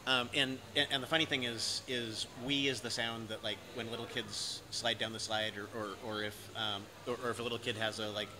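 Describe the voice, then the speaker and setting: A man's voice: mid-range voice, little pitch movement, a little fast, few pauses, a crowd in the background. A man in his forties with an American accent, chatting as an interviewer in a cafe and often restarting his sentences. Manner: repetitive restarts, chatty and amused. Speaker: interviewer, host. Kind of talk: casual interview conversation. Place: cafe by large windows, indoors. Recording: noisy cafe ambience.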